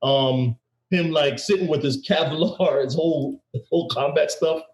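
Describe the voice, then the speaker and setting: A man's voice: fairly high pitch, moderate pace, highs cut off. A man hosting a podcast who tells stories energetically and often repeats words. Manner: energetic storytelling with laughs and repeats. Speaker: podcast host. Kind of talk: casual interview chat. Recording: roomy echo.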